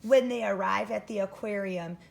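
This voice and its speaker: A woman's voice: mid-range voice, moderate pace, vocal fry. An American woman teacher doing an interactive read-aloud for first graders, addressing young listeners directly and instructively. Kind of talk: interactive children's story read-aloud with comprehension questions.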